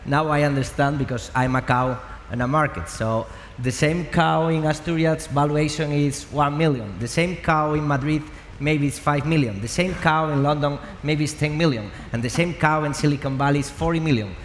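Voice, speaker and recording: A man's voice: on the high side, moderate pace, few pauses. A man speaking as a startup CEO on a conference panel, playful as he tells a self-mocking anecdote. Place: large conference hall. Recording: echoey hall with faint noise.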